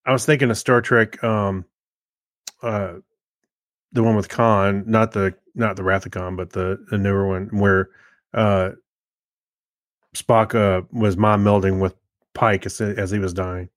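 A man's voice: on the low side, little pitch movement, unhurried, pauses often, no echo, studio quality. A middle-aged man co-hosting a podcast, chatting casually in a hesitant, rambling way. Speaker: podcast co-host. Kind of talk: casual chat about movies.

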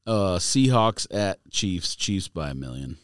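A man's voice: low-pitched, sing-song, a little slow, clean tone, no echo, studio quality. A man hosting a casual sports podcast, calling out matchups and bantering through picks. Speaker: podcast host calling out the games.

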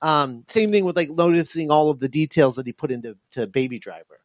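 A man's voice: on the high side, brisk, dry recording, clean studio sound. A man co-hosting a podcast in casual film discussion, animatedly acting out imagined reactions as he makes his points. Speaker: podcast co-host.

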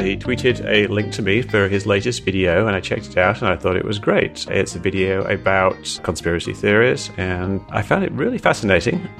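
A man's voice: fairly low pitch, vocal fry. A man hosting a podcast in a conversational interview style. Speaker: podcast host.

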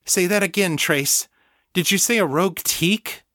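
Give this audiobook heroine , acted out by a man, a raised, bright voice. She is a distraught military telepath who speaks with urgency and pleads insistently. The sound is close and dry. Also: high voice, measured pace, no echo, voice pushed up. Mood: alarmed, insistent. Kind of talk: audiobook character acting.

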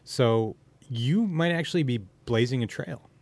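A man's voice: medium pitch, expressive, a little slow, darkish tone. Casting: male podcast host, mid-range voice, chatty and loose, rambles through his questions. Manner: rambling, self-interrupting questions. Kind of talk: casual chat.

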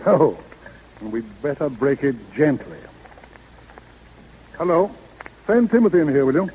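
A male actor with an American accent playing a brisk, businesslike senior lawyer in a 1930s radio drama. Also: a little high, big pitch swings, warm tone, slight background noise, rough recording. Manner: businesslike, authoritative. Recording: vintage 1930s radio broadcast.

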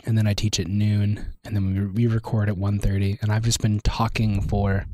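Hoarse man in casual chat. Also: on the low side, little pitch movement, normal speed, a little mellow, no room sound. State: hoarse, losing his voice. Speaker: university lecturer and podcast co-host.

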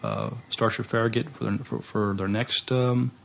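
A man's voice: mid-range voice, steady tone, normal speed, gravelly, a bit bright, a little hiss or hum. A man co-hosting a podcast interview, asking questions in a casual, chatty way.

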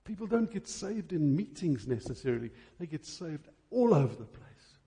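A male preacher with a slightly high-pitched voice that is soft-edged and husky. He delivers his church sermon emphatically and dramatically, leaning on deliberate repetition to drive each phrase home. The tone stays mellow even as he stresses his words.

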